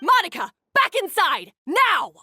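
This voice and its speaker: Sharp, domineering head chef. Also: female voice, very high-pitched, very animated, a little slow, slight background noise, heavily acted. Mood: angry, commanding. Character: domineering, short-tempered female head chef. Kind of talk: video game character voice acting.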